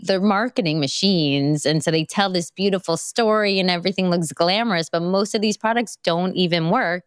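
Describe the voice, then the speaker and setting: Woman host, low voice, chatty casual, dry sound. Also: clean tone, dry recording. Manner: chatty, lots of fillers. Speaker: podcast host. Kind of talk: casual interview chat.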